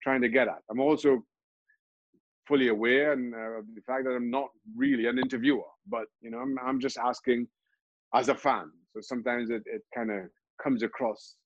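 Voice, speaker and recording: A middle-aged man from Trinidad and Tobago, a football pundit, answering interview questions in a halting way, restarting and repeating words often. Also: medium pitch, steady tone, no echo, dull audio. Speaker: football pundit and show host.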